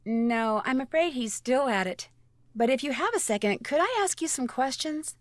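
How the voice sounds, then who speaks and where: A woman's voice: high-pitched, lively intonation, moderate pace, no room sound, clean studio sound. An actress playing a polite, inquisitive young female detective in a video game, speaking directly. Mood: polite probing.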